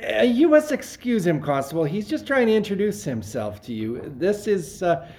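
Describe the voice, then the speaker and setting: A woman's voice: mid-range voice, sing-song, normal speed, dark tone. A woman playing a wily male schemer in a stage farce, bossy and evasive as he stalls and improvises cover-ups. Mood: smoothing over, evasive. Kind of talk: stage farce play reading.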